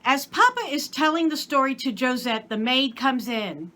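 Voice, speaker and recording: A woman with a bright, fairly high voice reads aloud as a storyteller. Her narration is scripted and expressive, in the manner of a storybook. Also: moderate pace. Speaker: story reader.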